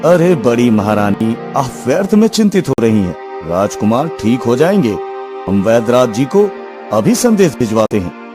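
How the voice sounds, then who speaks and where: A man's voice: mid-range voice, sing-song, normal speed, music in the background, lightly acted. A man voicing an ailing, anxious king in a dramatic Hindi fairy tale cartoon. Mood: reassuring. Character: ailing adult king, anxious, easily alarmed.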